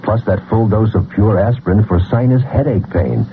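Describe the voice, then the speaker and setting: A man's voice: on the low side, normal speed. A man delivering a scripted radio advertisement as a commercial announcer. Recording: muffled old radio ad, faint noise.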